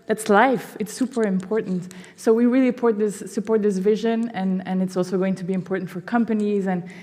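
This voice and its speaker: A woman's voice: medium pitch, steady tone, brisk, dark tone. A woman from Belgium giving an enthusiastic public presentation for a foundation, peppering her talk with "you know". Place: symposium hall. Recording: long hall reverb.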